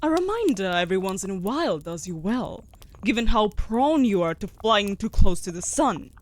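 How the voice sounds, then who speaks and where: A woman playing a sharp-tongued, bossy wolf familiar in a fantasy audio roleplay, speaking in a haughty, sardonic, formal way. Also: mid-range voice, sing-song, moderate pace, natural voice.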